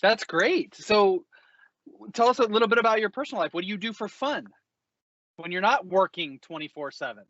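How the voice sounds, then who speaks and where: Bright, higher-pitched male host. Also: expressive, normal speed, no echo, dull audio. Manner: enthusiastic, effusive. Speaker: podcast host, company executive. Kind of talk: casual interview chat.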